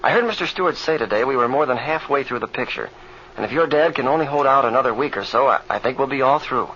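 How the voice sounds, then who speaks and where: A man's voice: mid-range voice, fast talker, pushed and bright, close to an everyday voice. An American actor playing an eager, encouraging young male pilot in an old radio serial, with earnest, folksy delivery. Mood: reassuring. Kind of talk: 1930s radio serial acting. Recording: muffled, noisy old radio recording.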